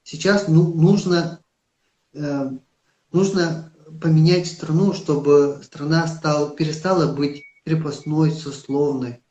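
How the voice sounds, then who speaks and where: A man's voice: fairly high pitch, fairly even intonation, takes it slowly, dark tone, lo-fi sound. Earnest man with a Yakut accent. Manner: earnest, emphatic, with hesitant fillers. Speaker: political candidate. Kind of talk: live-streamed interview. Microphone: phone on a live stream. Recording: roomy echo.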